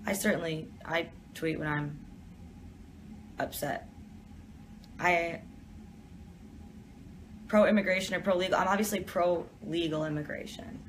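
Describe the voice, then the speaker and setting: A woman's voice: fairly low pitch, a little slow, long pauses, slight background noise. A young woman from Detroit, chatting casually as she reads out viewer questions and answers them in an office during a live stream. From Detroit, USA.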